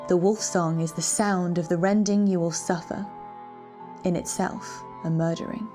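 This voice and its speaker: Female narrator for dark literary tale. Dramatic, ominous, measured phrasing, music underneath. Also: fairly low pitch, steady tone. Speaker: reader of a short story. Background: background music.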